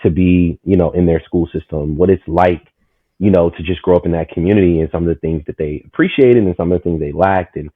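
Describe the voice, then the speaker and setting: A man in his thirties from Maryland, a guest telling his story in a lively, rambling way, full of "you know what I'm saying". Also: sits low, a little fast, slightly rough, dry recording. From Maryland, USA. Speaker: guest, community market founder. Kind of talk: casual storytelling conversation. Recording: tinny, phone-like, dry.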